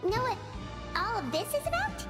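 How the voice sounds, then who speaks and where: A woman voicing a tiny, excitable, childlike fairy companion in a video game, chirpy and exclamatory in her delivery. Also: very high voice, moderate pace, over loud music. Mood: curious, chatty. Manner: bubbly, exclamatory, casual. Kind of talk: video game dub acting.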